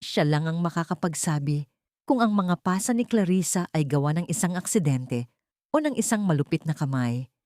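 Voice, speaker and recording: A woman's voice: low voice, expressive, normal speed, a little mellow, no room sound, studio quality. A woman voicing an anxious, determined young servant woman, earnest and secretive, in an audio story. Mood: anxious resolve.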